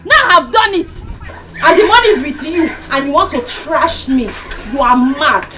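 A woman's voice: high voice, expressive, normal speed, background noise. A woman playing a fiery, betrayed Nigerian girlfriend, confrontational and threatening in a heated film drama. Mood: outraged. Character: fiery, betrayed young woman refusing to be trashed. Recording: faint music underneath.

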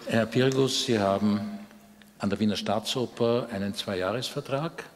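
A man's voice: on the low side, moderate pace. An older man hosting a live interview on a large opera stage, speaking into a headset microphone. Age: older, 60s or 70s. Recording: echoey hall, faint background noise.

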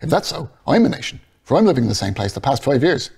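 A man's voice: mid-range voice, sing-song, fast, vocal fry, a little mellow, husky, pitched above the performer's own voice, full character voice. A man reading aloud and voicing a jovial male pub drinker with a mocking manner.

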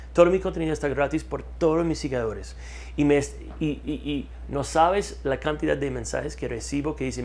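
A man, talking in an interview as a marketing consultant, repeating key words for emphasis, on a lapel mic in a studio. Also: mid-range voice. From United States. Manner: repeats words for emphasis, animated hand gestures. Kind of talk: energetic interview talk. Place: studio with map backdrop.

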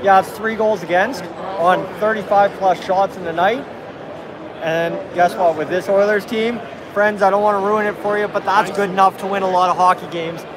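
A man's voice: sits high, steady tone, moderate pace. A man giving post-game sports commentary as a fan vlogger, recorded on a phone at arm's length in a busy arena entrance. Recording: loud urban crowd and traffic noise.

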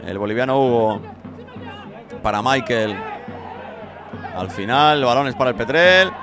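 A man's voice: medium pitch, expressive, a little slow, over music. A man with a Spanish accent from Spain giving live play-by-play football commentary. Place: outdoor football ground. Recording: outdoor stadium crowd noise behind. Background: crowd noise.

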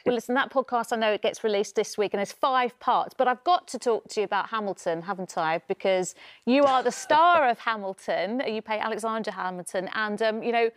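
A woman's voice: on the high side, a little fast, dry recording. A woman in her forties with a British accent, presenting and interviewing on broadcast news from a studio. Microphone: lapel mic.

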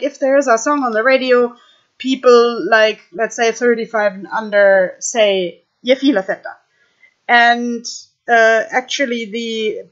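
A young woman with a German accent, speaking English to her webcam in a halting, thoughtful way with many fillers. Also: a little high, fairly bright tone. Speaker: vlogger. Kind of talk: casual talk to camera. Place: small room indoors. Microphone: webcam at desk. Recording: dull lo-fi webcam audio.

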